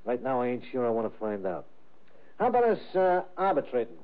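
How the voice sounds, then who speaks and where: An actor playing a menacing, mock-jovial gangster with streetwise slang and sneering delivery in a 1950s radio drama. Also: male voice, medium pitch, big pitch swings, brisk, natural voice. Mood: irritated, threatening. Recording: muffled vintage radio broadcast.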